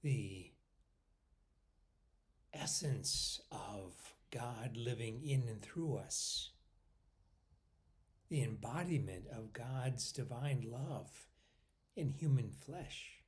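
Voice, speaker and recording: Slow-paced man guiding a meditation. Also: mid-range voice, expressive. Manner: unhurried, pausing between short phrases, often trailing off softly. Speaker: meditation guide.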